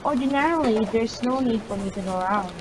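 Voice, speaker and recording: A woman gamer reading a visual novel's text aloud with casual asides, in a halting way that often repeats words. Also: on the high side, lively intonation, background noise. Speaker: gameplay video maker. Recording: loud music behind the voice. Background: game soundtrack with piano.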